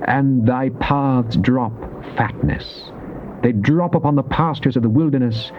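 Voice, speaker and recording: A man giving a solemn, theatrical, declamatory dramatized reading of scripture. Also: mid-range voice. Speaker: Bible reader. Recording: dull, lo-fi cassette with faint noise.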